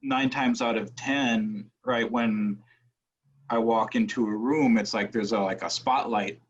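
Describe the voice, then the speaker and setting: An American man from Wisconsin talking thoughtfully on a panel, haltingly searching for his words. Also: lowish voice, fairly even intonation. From Wisconsin, United States. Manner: halting, searching for words, frequent checking "right". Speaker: academic panelist. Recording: dull, muffled audio.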